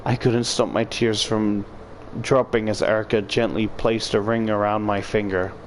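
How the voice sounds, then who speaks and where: A man with a fairly low voice reads a visual novel out loud. He mixes the narration with joking, swearing commentary of his own. There is a faint layer of noise behind him. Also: flat delivery, normal speed. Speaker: video creator reading a game aloud.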